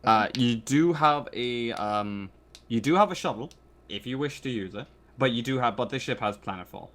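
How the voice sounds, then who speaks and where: A man's voice: medium pitch, fairly bright tone. Hesitant male game master. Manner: hesitant, halting explanations. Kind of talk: casual tabletop game refereeing.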